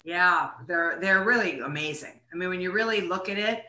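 A woman's voice: lowish voice, normal speed, pushed and bright, roomy sound. An older woman, an actress being interviewed, casually reminiscing in conversation.

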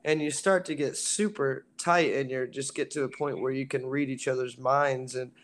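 A man who sings in a band, with a raspy voice, talking casually in an interview with lots of fillers. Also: mid-range voice, moderate pace. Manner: relaxed, rambling with many fillers. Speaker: band singer.

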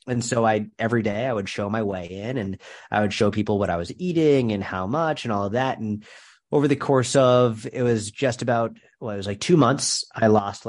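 A young man with a nasal, stuffy voice from a head cold, chatting fast as a podcast guest and restarting his sentences often. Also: lowish voice. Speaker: podcast guest, strength and nutrition coach. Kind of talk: casual interview chat.

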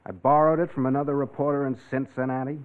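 A man's voice: mid-range voice, little pitch movement, fast talker, close to an everyday voice. Casting: male actor for a cool young reporter, wry, vintage crime radio, muffled narrow-band recording. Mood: wry, unruffled. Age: 20s. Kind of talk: classic radio drama acting.

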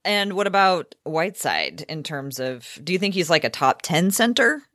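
Low-voiced woman co-hosting, close dry sound. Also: sing-song, moderate pace, a bit bright, no echo. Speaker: sports podcast co-host. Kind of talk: casual sports discussion.

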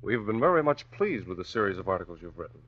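A man's voice: lowish voice, sing-song, fast. An American man acting as a courteous, assured young newspaper publisher in a 1940s radio drama. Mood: courteous, businesslike. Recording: dull vintage radio recording.

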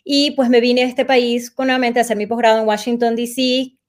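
A Venezuelan woman in her forties, a journalist being interviewed, recalling her path in a reflective storytelling way and pausing to search for words. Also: a little high, little pitch movement. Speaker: guest journalist. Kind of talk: relaxed conversational interview.

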